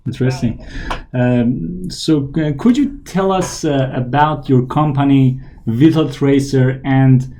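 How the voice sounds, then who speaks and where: A man's voice: mid-range voice, takes it slowly, warm tone, slight background noise. A man hosting a podcast, asking friendly questions with many "uh" and "um" fillers. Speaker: podcast host. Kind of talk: podcast interview.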